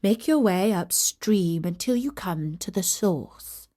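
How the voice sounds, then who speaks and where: A woman's voice: medium pitch, expressive, slow pace, mellow. A woman voicing a breezy, generous male god of wine in a declamatory, assured storybook reading. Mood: commanding. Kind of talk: children's storybook character reading.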